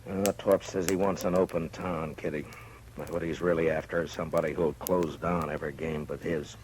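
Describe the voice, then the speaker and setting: An actor playing a steady, wry US marshal in a 1950s radio western, speaking in a dry, understated way. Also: male voice, a bass voice, fairly quick, slight background noise, low-quality recording. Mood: wary. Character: steady, wry frontier US marshal, grown man. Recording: vintage radio recording with music.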